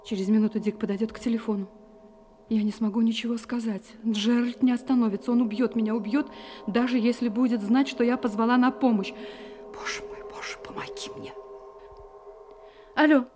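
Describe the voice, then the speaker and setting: High-voiced anxious wife played by an actress. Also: female voice, fairly even intonation, brisk, mellow, slight background noise, close to an everyday voice. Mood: terrified. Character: anxious wife hiding terror behind sweet domestic composure. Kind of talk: Russian audio drama acting.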